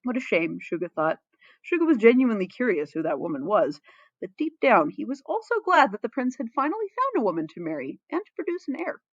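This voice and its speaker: A woman reads a story aloud with playful drama and very lively intonation. The recording is dry and a little dull, like a modest home setup. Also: a little high, a little fast, dry recording. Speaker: fan fiction reader. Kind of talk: expressive story narration with playful asides.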